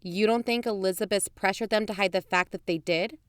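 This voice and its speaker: A woman's voice: mid-range voice, fairly quick, clean tone, no echo, studio quality, close to an everyday voice. A woman playing a pragmatic documentary-maker and concerned friend in an audio drama, conversational, frank and pressing. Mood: sceptical. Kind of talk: naturalistic audio drama acting.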